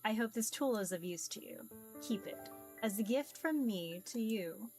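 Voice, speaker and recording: A woman voicing a mysterious ancient being in a visual novel, formal, measured, amused and curious about humans. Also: fairly high pitch, expressive, dark tone, faint music behind, close to an everyday voice. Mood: formal, guiding. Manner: formal, measured, archaic phrasing. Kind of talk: visual novel character read-aloud.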